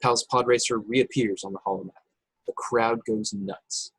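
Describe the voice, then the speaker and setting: A man narrates stage directions for an action-packed script in a husky, fairly low voice. The sound is close and dry, as he reads the scenes out at a remote table read. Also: steady tone, measured pace, no room sound, poor audio. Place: indoors, remote video call table read.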